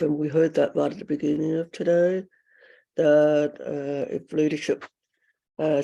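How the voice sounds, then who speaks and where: Croaky, gravelly woman with a dark voice. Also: low voice. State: croaky, hoarse after illness. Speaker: panellist. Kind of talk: unscripted panel answer. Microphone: video call.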